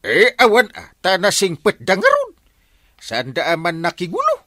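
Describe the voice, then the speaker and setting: An actor plays a jovial, dim village captain in an Ilocano radio comedy. His bright, fairly high voice swoops wildly as he bumbles hesitantly along, in a northern Philippine accent, recorded dry and close. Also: huge pitch swings, moderate pace, no room sound, exaggerated voice. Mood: bumbling. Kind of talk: comedy radio drama acting.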